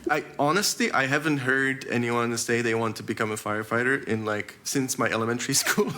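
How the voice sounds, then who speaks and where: A man's voice: mid-range voice, fairly even intonation, a little fast, bright, forceful tone. A man hosting a casual interview in an encouraging, affirming way. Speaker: interview host. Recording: noticeable background noise.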